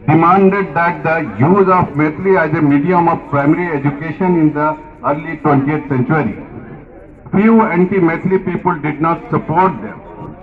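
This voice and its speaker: Man giving a halting formal speech. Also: on the high side, phone-quality sound. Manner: halting, repeats and restarts words while reading. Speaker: speaker at a language day event.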